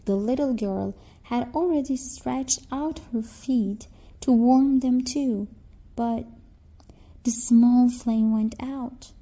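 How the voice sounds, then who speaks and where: A woman narrates a fairy tale for children in a fairly high, mellow voice. Her reading is slow and scripted, as a storyteller's.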